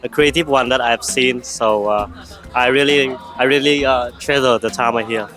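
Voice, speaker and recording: A man's voice: mid-range voice, fairly even intonation, fairly quick, sharp tone. Hesitant man interviewee over music. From Vietnam. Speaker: visitor giving feedback on a tour. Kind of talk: casual on-camera interview. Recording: music behind the voice.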